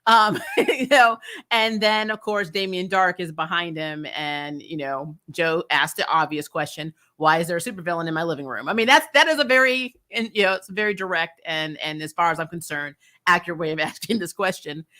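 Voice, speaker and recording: A woman's voice: fairly low pitch, a little fast, pushed and bright. A woman hosting a casual review vlog, ranting and recapping in a blunt, sarcastic, exasperated way with some swearing. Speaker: host of a TV show review channel. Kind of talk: casual opinionated recap and rant.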